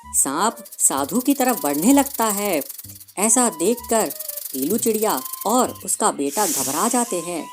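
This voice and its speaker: A woman's voice: medium pitch, expressive, slow pace, over music. A woman narrating a scripted children's cartoon fable as storyteller.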